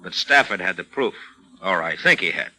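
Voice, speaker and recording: A man's voice: sits low, animated, moderate pace, pushed and bright, exaggerated voice, poor audio. A man playing a wry, suspicious, tough police lieutenant in a 1940s radio drama, with a dry, laconic delivery.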